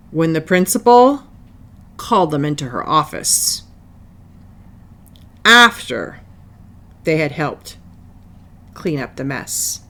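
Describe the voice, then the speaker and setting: A woman reading a children's picture book aloud as narrator, with deliberate, dramatic phrasing and long pauses. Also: low voice. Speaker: storybook reader.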